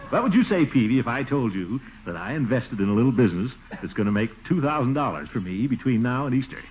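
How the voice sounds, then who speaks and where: An actor playing a pompous, flustered middle-aged schemer in a 1940s radio comedy, blustering and self-satisfied. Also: male voice, medium pitch, very animated, normal speed, narrow, tinny audio, a crowd in the background, natural voice. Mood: boastful. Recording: scratchy, band-limited 1940s radio broadcast.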